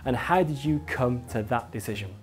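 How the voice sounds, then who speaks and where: A man's voice: mid-range voice, moderate pace, over loud music. A man presenting a scripted lesson as an instructor, talking directly to the listener in an emphatic, encouraging, explanatory way. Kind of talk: scripted instructional narration addressed to viewer.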